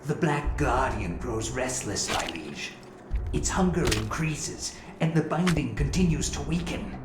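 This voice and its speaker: A man's voice: medium pitch, lively intonation, unhurried, close to an everyday voice. A man playing an anxious, deferential underling in a video game, speaking formally and subserviently. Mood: worried deference. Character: anxious, deferential underling reporting to a dark lord. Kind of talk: scripted video game cutscene acting. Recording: echoey room, music behind.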